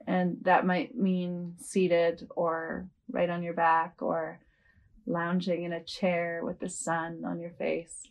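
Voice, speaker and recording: A woman leading a guided yoga nidra meditation as a host, speaking gently and without hurry, with long pauses. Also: lowish voice, completely flat delivery, highs cut off.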